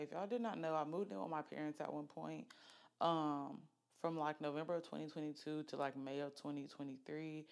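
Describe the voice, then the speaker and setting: A woman, hosting a podcast in a candid, chatty way with lots of fillers. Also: low voice. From Alabama, US. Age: late 20s. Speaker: podcast host. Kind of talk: casual solo podcast chat.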